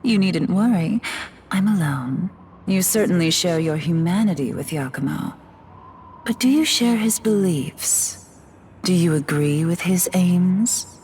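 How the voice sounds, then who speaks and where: A silky fallen goddess. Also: female voice, fairly low pitch, lively intonation, a little slow, darkish tone, slight background noise. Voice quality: silky, refined, condescending. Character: elegant scheming fallen goddess, female, ageless. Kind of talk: video game cutscene voice acting. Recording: game audio with music behind.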